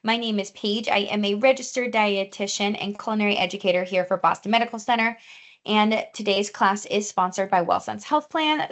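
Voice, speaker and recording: Brief: young woman dietitian, friendly and chatty cooking instructor, mid pitch, video call audio. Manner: friendly, chatty, many fillers. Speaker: dietitian teaching a cooking class. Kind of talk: live online cooking demonstration. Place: home or teaching kitchen.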